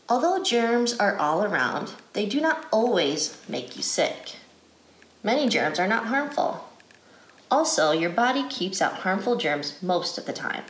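An American woman reading a picture book aloud to children as an educator, phrasing deliberately in a guided read-aloud style. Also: mid-range voice. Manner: deliberate, clearly phrased, asks questions. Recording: roomy indoor echo.

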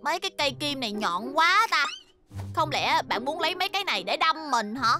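A woman's voice: high voice, sing-song, fairly quick, music in the background. A woman voicing a mischievous, hot-tempered little schoolgirl in a cartoon dub, with dramatic, exclamatory delivery. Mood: cheeky.

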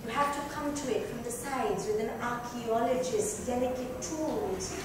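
A woman giving an expressive, deliberate, theatrical reading of literary narration. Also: on the high side. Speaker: reader at a dramatic book reading. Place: club lounge, indoors. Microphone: distant microphone. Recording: very echoey room, distant mic, faint noise.

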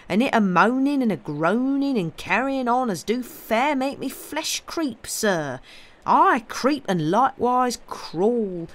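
A frightened, superstitious young country servant played by a male narrator, speaking in English rural dialect. His voice jumps high and loud as he gabbles and exclaims in old storybook fashion. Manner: breathless, exclaiming, rambling.

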